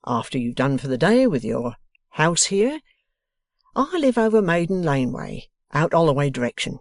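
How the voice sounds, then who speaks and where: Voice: mid-range voice, no room sound, clean studio sound, pitched above the performer's own voice. Mr Boffin, hearty old man as a reader puts him on. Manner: broad, exclaiming, mangles words. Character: excitable uneducated retired old man, hearty. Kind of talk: audiobook character reading.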